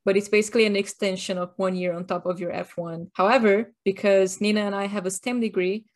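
A woman's voice: mid-range voice, fairly even intonation, brisk. A woman from Brazil co-hosting a casual podcast, explaining things with a wry, joking, chatty manner. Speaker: podcast co-host. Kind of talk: casual chat.

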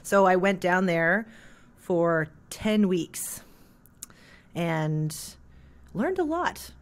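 A woman in her thirties from Canada, a jazz singer chatting as an interview guest with a thick, heavy voice, full of "like" and quick laughs. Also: on the low side, big pitch swings. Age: 30s. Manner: rambling, filler-heavy, laughs often. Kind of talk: casual interview chat.

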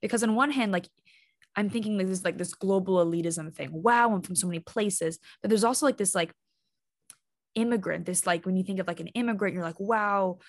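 A woman's voice: medium pitch, fairly quick, dry recording, clean studio sound. A young woman co-hosting a casual chat, curious and reflective as she talks. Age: young adult.

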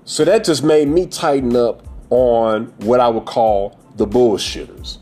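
A man's voice: medium pitch, a little slow, mellow, soft background music. A man in his forties hosting a podcast, giving a blunt, profane, preachy monologue about dating. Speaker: podcast host.

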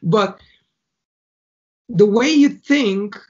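A man's voice: high voice, extremely slow, darkish tone, dull audio. A man from Bulgaria with a Bulgarian accent, a guest in an interview who talks through his ideas with analogies, in short phrases with small restarts. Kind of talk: casual conversational interview.

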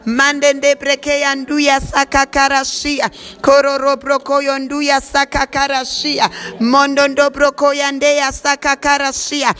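Fervent woman praying over soft music. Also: sits high, completely flat delivery, measured pace. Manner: fervent, repetitive declarations. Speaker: prayer leader. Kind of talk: spoken prayer with speaking in tongues.

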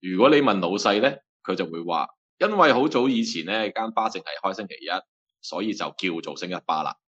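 An easygoing, burly bartender with a past in the gangs, voiced by a man, speaking with a Hong Kong Cantonese accent. He tells stories in a lowish voice. The recording is dull and lo-fi. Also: lively intonation, brisk, a bit bright, breathy edge. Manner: easygoing, storytelling. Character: laid-back burly male bartender, ex-gangster. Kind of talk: Cantonese radio drama acting.

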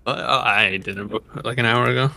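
A man's voice: medium pitch, fairly even intonation, quick pace, vocal fry, pushed and bright. A young man chatting casually as a podcast co-host, blunt and teasing his friend. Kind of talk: casual chat.

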